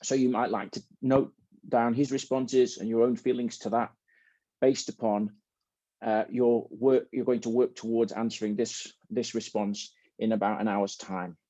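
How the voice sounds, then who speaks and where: A man with a soft-edged, mellow voice moderates a student video call. They speak informally and hesitantly, rewording as they go. The sound is dry and slightly dull. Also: mid-range voice, level tone, normal speed, dry recording. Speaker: host and moderator of a student symposium.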